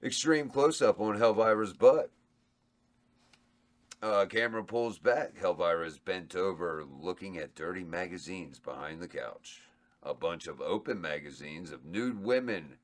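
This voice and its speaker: A man's voice: low-pitched, measured pace. A man reading stage directions aloud at a table read, laid-back and chuckling. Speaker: script reader and cast member.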